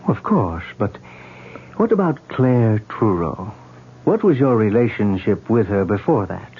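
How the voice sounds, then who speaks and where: An actor playing a calm male psychiatrist who asks probing questions, in a 1970s radio drama. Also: fairly low pitch, unhurried, slight background noise. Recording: tinny lo-fi radio broadcast.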